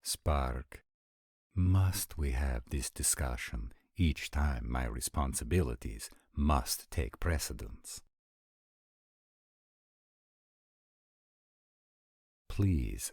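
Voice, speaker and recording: Guy doing a husky vampire prince, super low voice, stiff and formal, weary, roleplay audio. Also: darkish tone, dry recording, clean studio sound, heavily acted. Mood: weary, pleading. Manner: stiff, formal, measured wording. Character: formal, dutiful vampire prince, male, patient yet weary.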